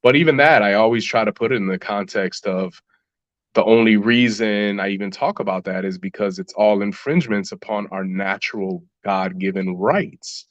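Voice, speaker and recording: A low-voiced man talks through his ideas slowly and reflectively, often restarting his sentences and filling pauses. His audio sounds dull and dry, with no room around it. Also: dry recording. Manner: reflective, many fillers and restarts. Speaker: musician guest. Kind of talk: podcast interview answers.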